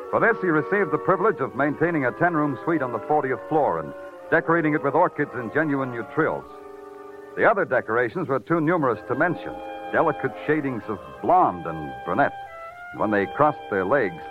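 An actor playing a weary, wry, hard-boiled police detective in 1940s radio noir style, clipped and sardonic. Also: male voice, mid-range voice, animated, a little fast, narrow, tinny audio, music in the background, close to an everyday voice. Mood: wry, poetic narration. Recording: band-limited vintage radio recording.

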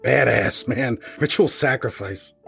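A laid-back rocker guitarist, voiced by a man talks in crude, excitable slang at an ordinary pitch. Faint music plays behind the scene. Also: a little slow, gravelly. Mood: excited.

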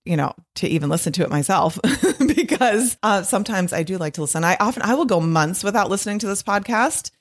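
A middle-aged woman hosting her podcast alone, her voice a little husky, talking in a chatty, rambling way with frequent laughs. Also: fairly low pitch. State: slightly husky from long recording. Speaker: podcast host and author. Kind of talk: casual solo podcast monologue.

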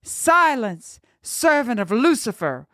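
Woman as an angry shouting farmer, pitched up. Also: very animated, takes it slowly, no echo, clean studio sound, full character voice. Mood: harsh command. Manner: shouting, accusatory. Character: angry accusing male farmer in a witch-hunting mob. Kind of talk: dramatic storytelling.

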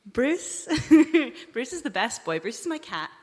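A woman's voice: a little high, very animated, a little slow. A woman cast member chatting casually and playfully on a live panel. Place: live event room at a festival. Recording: echoey hall, long reverb.

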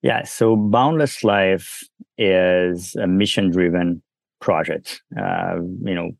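A man with a Brazilian accent carrying a trace of French speaks in a very low voice. He talks casually and loosely, with plenty of fillers and restarts. The sound is dry and close. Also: no room sound. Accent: Brazilian with some French. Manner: relaxed, many fillers and restarts. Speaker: guest entrepreneur. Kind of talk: casual interview conversation.

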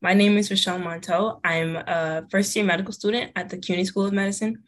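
A woman's voice: medium pitch, fairly even intonation, brisk, faint music behind. A young woman, a medical student, hosting a podcast interview in a polite, friendly way.

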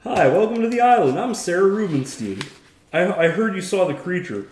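A man reading the lines of a small, hunched, cryptic elderly woman, in a low voice with lively pitch. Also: moderate pace, roomy sound, a little hiss or hum.

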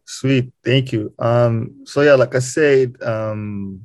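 A man's voice: mid-range voice, takes it slowly, no room sound. A young man from Rwanda, a podcast guest talking casually about his background, with many "um"s and "you know"s. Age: young adult. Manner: relaxed, lots of fillers like "um" and "you know". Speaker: podcast guest, student and game designer. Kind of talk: casual interview talk.

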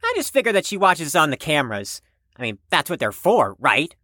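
Prim wordy patient, low female voice that swings around a lot, pedantic, audio drama. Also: big pitch swings, fairly quick, a bit bright, no room sound, clean studio sound, heavily acted. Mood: formal, earnest. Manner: formal, precise, pedantic. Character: prim, wordy, anxious patient with formal speech.

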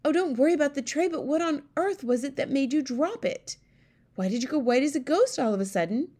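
A woman's voice: sits high, lively intonation, a little fast, mellow, pitched above the performer's own voice. A woman voicing a regal, commanding queen in a storybook, exclamatory and aristocratic in her phrasing. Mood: sharp, concerned. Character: well-known queen, regal, commanding, easily horrified older woman. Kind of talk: storybook character voice.

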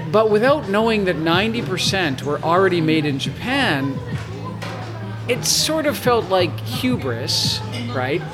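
A man hosting a conversational business interview, speaking in a reflective way. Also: sits high.

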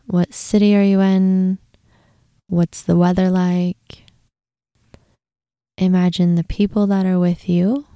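A woman leading a guided meditation in a gentle, unhurried manner, with breathy, hushed moments. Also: fairly low pitch, little pitch movement, no room sound, clean studio sound. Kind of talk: guided meditation, soothing instruction.

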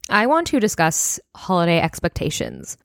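A woman in her twenties, a little hoarse, hosting a casual solo podcast and chatting with lots of fillers. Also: fairly low pitch, huge pitch swings, moderate pace, no room sound, clean studio sound. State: slightly hoarse, voice near breaking. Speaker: podcast host.

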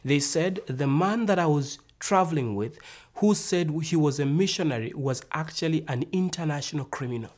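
A man's voice: on the high side, normal speed, a little mellow. A man from Zambia with a Zambian accent, narrating a prepared personal testimony in a formal, orderly way. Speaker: university graduate and minister giving testimony.

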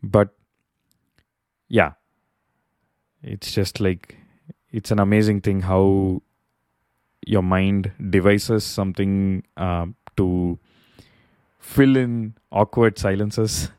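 A man whose first language is not English, hosting a solo podcast and thinking aloud with many pauses and filler words. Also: sits low, steady tone, darkish tone, smooth, no room sound. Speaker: podcast host. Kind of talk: solo reflective podcast monologue. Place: Bay Area, California.

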